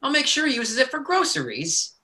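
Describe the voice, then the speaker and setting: A man playing a cocky, bullying hustler in a play reading, taunting in a folksy, rough-edged way. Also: very high-pitched, wildly swooping pitch, a little fast, bright, forceful tone, exaggerated voice. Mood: pushy.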